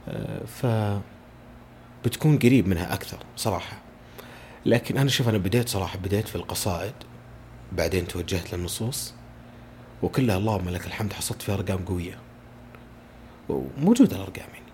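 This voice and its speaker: A man's voice: low-pitched, expressive, fast, long pauses, darkish tone, slight background noise. A man, a voice-over artist chatting eagerly as a podcast guest. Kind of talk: casual conversation.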